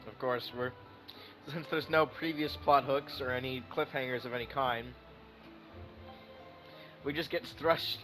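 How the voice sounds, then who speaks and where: A man of average pitch streams a game with casual, rambling commentary. He talks loosely and swears freely. Faint music plays behind his voice. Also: fairly even intonation, measured pace, pauses often, bright, forceful tone, low-quality recording.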